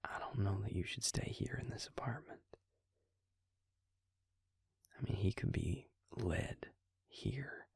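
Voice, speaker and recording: A man playing a worried, protective, gruff but caring vampire in an intimate audio roleplay, with a breathy, gravelly murmur and a halting, earnest, pleading manner. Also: on the low side, a little mellow, no room sound, whispered, clean studio sound, exaggerated voice. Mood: grave concern.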